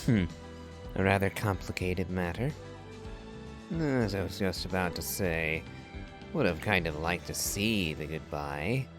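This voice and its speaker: Deep, slow, wry man. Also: exaggerated voice. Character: laid-back wry man, hesitant and musing. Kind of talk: video game voice acting.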